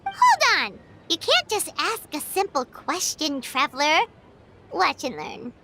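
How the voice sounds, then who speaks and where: A woman voicing a small, eager, cheeky fairy companion in a video game, with a squeaky childlike voice and an excitable, chatty manner. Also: slow pace, background noise, exaggerated voice. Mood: excited. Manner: refers to self in third person, excitable. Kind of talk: animated video game voice acting.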